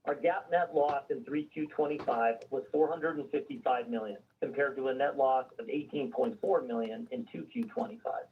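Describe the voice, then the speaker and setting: A man's voice: medium pitch, expressive, moderate pace, few pauses, far from the mic, narrow, tinny audio, slight background noise. A man formally reading a prepared financial results presentation on a conference call, as a company executive. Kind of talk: scripted earnings call presentation.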